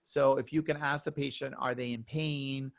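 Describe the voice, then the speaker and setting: A man's voice: mid-range voice, fairly even intonation, normal speed, gravelly. Earnest man doctor on a video call. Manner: earnest, repeats words. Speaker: panelist, doctor. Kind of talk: webinar discussion.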